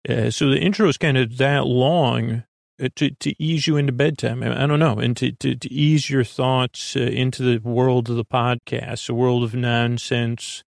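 A man with a gravelly voice hosting a podcast, rambling through an unscripted bedtime monologue in a hesitant, self-deprecating, meandering way. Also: steady tone, normal speed, no echo, studio quality. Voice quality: gravelly, creaky. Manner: self-deprecating, low-key. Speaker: podcast host.

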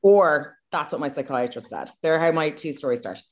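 A woman's voice: sits low, expressive, brisk. A woman with an Irish accent, co-hosting a casual comedic chat, frank and self-mocking. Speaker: podcast co-host.

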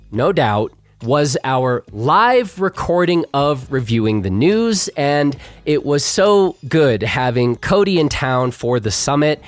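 Male podcast host, middle-aged, voice going hoarse, casual and rambling, mid pitch, dry close sound. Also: no room sound. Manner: chatty, self-deprecating, many fillers. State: hoarse, losing his voice. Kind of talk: casual podcast intro and live chat.

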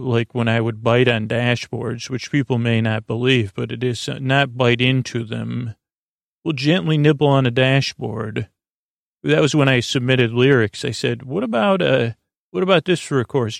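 A man with a creaky voice hosting a podcast, rambling through a bedtime monologue meant to lull listeners to sleep, halting and stammering as he drifts into tangents. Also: mid-range voice, dry recording, clean studio sound. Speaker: podcast host.

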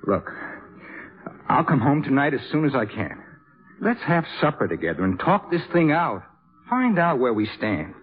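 An actor playing a troubled, defensive young jazz trumpeter in a 1950s radio drama, stammering and emphatic. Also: male voice, medium pitch, big pitch swings, moderate pace, lightly acted. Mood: exasperated, pleading. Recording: muffled, band-limited 1950s radio recording.

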